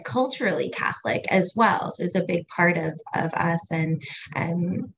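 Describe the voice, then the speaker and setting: Thoughtful American woman, low-pitched. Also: moderate pace, creaky, slightly rough. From United States. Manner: thoughtful, many fillers like "you know" and "um". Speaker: guest, equity consultant and educator. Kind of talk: podcast interview.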